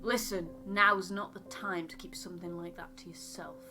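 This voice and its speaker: A woman voicing a composed, pragmatic ship's captain in a game, speaking in a measured, firm way. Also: fairly low pitch, fairly even intonation, over music, close to an everyday voice. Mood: firm, reasoning. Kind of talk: voiced game dialogue.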